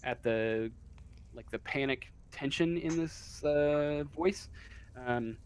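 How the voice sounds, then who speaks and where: A man running a tabletop game as game master, narrating off the cuff in a halting, casual way as he searches for words. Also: fairly high pitch, smooth.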